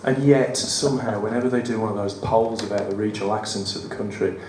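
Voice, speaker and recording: A man with a West Midlands accent and a croaky voice, reading his poems at a live event with wry, self-deprecating banter. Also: lowish voice, fairly even intonation, fast, far from the mic. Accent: West Midlands English. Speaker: performance poet. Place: studio theatre at a live cabaret event. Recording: roomy live venue, mic from afar, audible room noise. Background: audience ambience.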